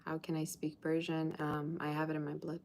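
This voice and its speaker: Low-voiced chatty woman tarot reader. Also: monotone, brisk, darkish tone, slight background noise. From Russia. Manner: chatty, teasing, sings snatches of old songs. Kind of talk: livestream chat and tarot reading.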